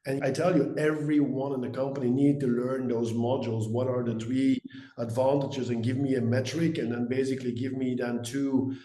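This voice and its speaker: A man with a Belgian accent speaks as an interview guest, recounting his working life. His delivery is fairly flat in pitch and his tone is mellow. The recording has a noticeable room echo. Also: moderate pace. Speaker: guest, sales executive. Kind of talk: interview answers, career storytelling. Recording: roomy, echoey sound.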